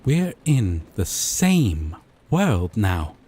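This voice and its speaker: A man playing a gentle, obsessive yandere dating-sim character, tender and possessive, in an intimate scripted audio roleplay with a lingering, coaxing delivery. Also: fairly low pitch, big pitch swings, husky, heavily acted. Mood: amused, teasing. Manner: slow, lingering, coaxing, softly teasing.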